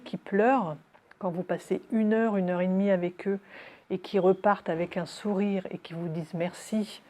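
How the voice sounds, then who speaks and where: A middle-aged woman with a lowish, very mellow voice talks about her work as a nurse. She is reflective and earnest, in the style of a documentary interview. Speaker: hospital nurse.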